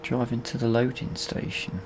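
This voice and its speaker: A man's voice: mid-range voice, monotone, moderate pace, noisy background. A man giving casual let's play commentary on a video game, muttering to himself as he plays. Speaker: gaming video host.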